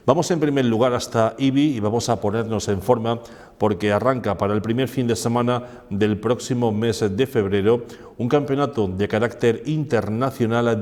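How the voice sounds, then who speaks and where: A man's voice: on the low side, darkish tone. A man with an accent from Spain presenting television news in a scripted, formal delivery. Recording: long hall-like reverb.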